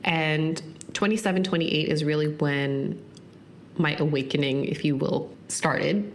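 A woman's voice: sits low, fairly even intonation, slight background noise. A woman in her thirties hosting a solo podcast, talking earnestly and reflectively with many "like" fillers. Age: 30s. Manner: earnest, frequent "like" fillers, speeds up and slows for emphasis. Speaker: podcast host.

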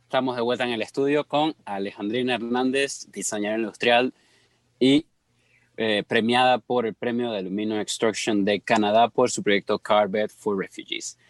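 A man's voice: fairly low pitch, steady tone, normal speed, no echo. A man hosting a radio interview, hesitating with frequent fillers. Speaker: radio host. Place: radio studio.

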